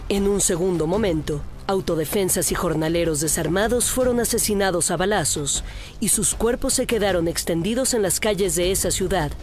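A woman with a Mexican accent reading scripted documentary narration for a video news report. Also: fairly low pitch. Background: music.

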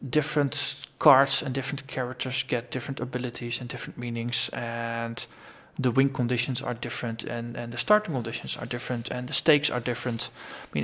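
A man's voice: mid-range voice, fairly bright tone. A man hosting a gaming channel, talking through a long casual solo vlog, rambling and thinking aloud as his voice tires. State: voice tiring after a long recording.

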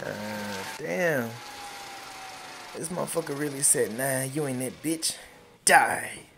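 A man's voice: medium pitch, takes it slowly, creaky, noisy background. A young American man giving casual, profane gameplay commentary in slangy reactions. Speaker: gaming streamer. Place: small room indoors. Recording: loud game audio behind voice.

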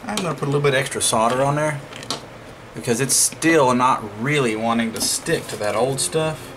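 Clear background noise sits behind a man with a mid-pitched voice. He talks in an informal, folksy way, thinking aloud while he does hands-on repair work. It sounds like a casual home-made DIY vlog recorded at a work table. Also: moderate pace. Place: indoors at a wooden work table.

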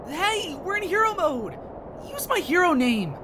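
A woman playing an earnest, excitable young masked superhero in a comic dub, with a theatrical delivery. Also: very high-pitched, measured pace, noisy background, heavily acted. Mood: excited. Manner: theatrical, swinging from solemn speeches to eager exclamations.

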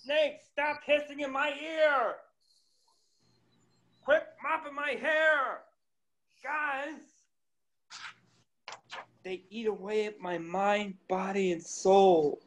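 A man reading a frazzled bar owner who snaps and whines at everyone, his voice sitting high and his delivery slow and drawn out. He sounds like he is on a laptop mic during a video call table read. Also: exaggerated voice. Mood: exasperated. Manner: exasperated shouting, whiny complaints. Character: easily annoyed male bar owner Steve, frantic. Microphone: laptop webcam mic on video call.

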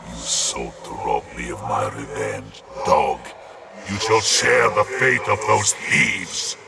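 A wrathful dead soldier's spirit in a fantasy game, deep and gravelly. The delivery is menacing and drawn out, declaimed over loud music. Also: male voice, slow, exaggerated voice. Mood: vengeful, menacing. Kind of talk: dramatic video game acting.

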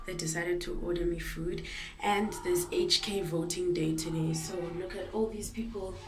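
A woman's voice: on the low side, fairly even intonation, moderate pace. A young woman, vlogging casually to a handheld camera in her room, chatty and giggly, slipping in isiXhosa words. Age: young adult. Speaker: vlogger, university student. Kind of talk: casual vlog chat to camera. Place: student bedroom and outdoors. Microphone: camera held at arm's length. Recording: noisy handheld camera audio.

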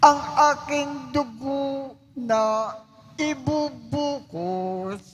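Very slow, solemn male priest. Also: very high voice, a bit bright, faint music behind. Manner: solemn, measured, deliberate phrasing. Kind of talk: reciting the Mass liturgy. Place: large church.

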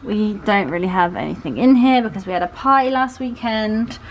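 A woman's voice: medium pitch, expressive, a little fast, rough recording. A woman co-hosting a casual house tour, chatting in an upbeat way and laughing often. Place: house, indoors. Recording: audible room noise.

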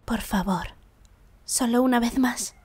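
A woman voicing a shy, earnest teenage schoolgirl in an anime fan dub, soft-spoken, hesitant and apologetic. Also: fairly high pitch, unhurried, breathy edge. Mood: earnest, pleading.

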